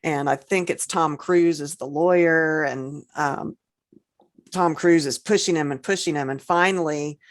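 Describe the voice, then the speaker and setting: A woman with a low voice talks as a podcast co-host. She is casual and unscripted, pausing and piecing her thoughts together aloud as she goes.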